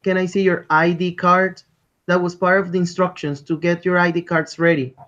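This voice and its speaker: Casting: man, fairly high voice, polite exam interviewer on a muffled video call. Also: little pitch movement, a bit bright. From Colombia. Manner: polite, measured, repeats instructions. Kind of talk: structured spoken exam interview. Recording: dull lo-fi call audio.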